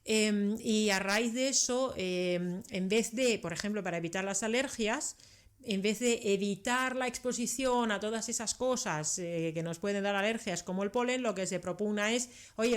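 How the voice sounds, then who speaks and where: A woman's voice: medium pitch, normal speed. A middle-aged woman from Finland, a native Finnish speaker, explains things conversationally as an expert interview guest, adding frequent tag questions. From Karelia, southeastern Finland. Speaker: interview guest, doctor and science communicator.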